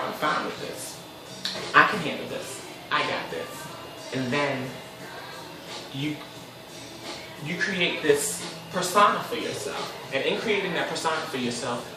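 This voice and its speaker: A man in his twenties hosting his own casual talk show, chatty and expressive, with sudden high squeals. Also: recorded from a distance. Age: 20s. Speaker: host. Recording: echoey room, voice a bit off the mic.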